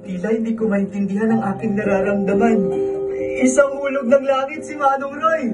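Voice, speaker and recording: A man's voice: extremely high pitch, level tone, fairly quick, far from the mic, loud music behind the voice. A young man playing a polite, humble orphan who also narrates, in a student radio drama. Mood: reflective. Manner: earnest, respectful, mixes Spanish-based words. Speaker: narrator and lead character.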